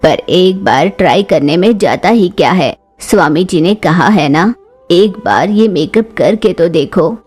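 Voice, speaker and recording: A woman voicing a kindly, reassuring female crow doctor with a husky voice in a children's cartoon. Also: lowish voice, animated, unhurried, a little mellow, faint music behind, voice pushed down. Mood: coaxing. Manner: reassuring, coaxing. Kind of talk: Hindi children's cartoon voice acting.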